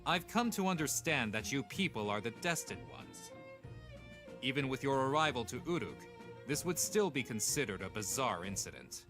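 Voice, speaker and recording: A man's voice: medium pitch, huge pitch swings, moderate pace, over music. A man acting a haughty, imperious ancient king in a comic dub, pompous and condescending in his delivery. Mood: haughty, skeptical.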